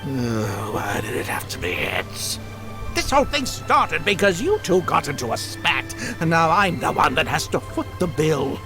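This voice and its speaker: Older male guide for a game: slightly high, hugely animated, polite, hesitant and theatrical, music behind. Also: moderate pace, low-quality recording. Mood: grumbling, wheedling. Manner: hesitant, courteous, theatrical delivery. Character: genial older male guide, wheedling, easily flustered gambler. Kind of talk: video game voice acting.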